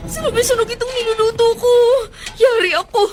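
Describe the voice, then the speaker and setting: A woman's voice: very high-pitched, fairly quick. An actress playing a flustered, homesick overseas maid and mother in a radio drama, with an exclamatory, emotional manner. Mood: panicked.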